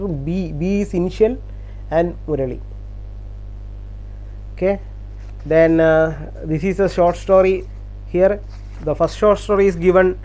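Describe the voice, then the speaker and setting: Halting man, high-pitched voice. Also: darkish tone, low-quality recording. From Kerala, India. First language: Malayalam. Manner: halting, repeats words, frequent uh fillers. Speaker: channel host. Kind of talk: casual talk to camera.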